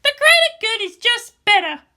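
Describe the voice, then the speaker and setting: A woman's voice: extremely high pitch, very animated, normal speed, sharp tone, pitched above the performer's own voice, exaggerated voice. A woman voicing a headstrong, argumentative girl with fierce conviction and an emphatic delivery, in a fan fiction reading. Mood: insistent. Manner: emphatic, declamatory. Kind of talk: fan fiction audiobook character voice.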